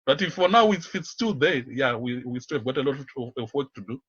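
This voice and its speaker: Husky-voiced man, halting speech. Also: medium pitch, lively intonation, a bit bright, muffled recording. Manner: halting, searching, repeats words as they go. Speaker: interview guest, community volunteer and activist. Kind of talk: unscripted radio interview talk.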